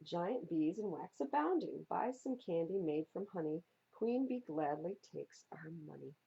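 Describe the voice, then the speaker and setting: A woman reads a children's picture book aloud with playful, theatrical emphasis. Her voice is husky and mellow with some creak in it. The recording is slightly dull and lo-fi. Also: fairly low pitch, animated, unhurried. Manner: playful, theatrical, stresses and repeats words for young listeners. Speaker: library storytime reader. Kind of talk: expressive read-aloud of children's picture books.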